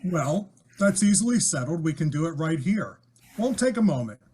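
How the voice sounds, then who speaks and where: Very noisy clicking recording, man as businesslike police inspector, high-pitched male voice, radio drama. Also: sing-song, normal speed. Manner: businesslike, questioning. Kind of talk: old-time radio mystery acting.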